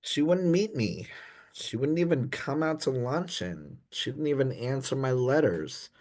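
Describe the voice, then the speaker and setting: An actor playing an anxious, dim young gentleman in a comic period radio play, dithering and apologetic in posh old-fashioned slang. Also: mid-range voice, lively intonation, unhurried. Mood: fretful. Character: anxious, dim young upper-class Edwardian gentleman in trouble.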